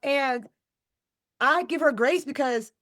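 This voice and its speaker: A woman's voice: high voice, slow, a bit bright, no echo, studio quality. A woman from Chicago, around her thirties or forties, talking casually as an interview guest, playful, emphatic and slangy. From Chicago, United States. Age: middle-aged millennial, 30s to 40s. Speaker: podcast guest, wellness coach and motivational speaker.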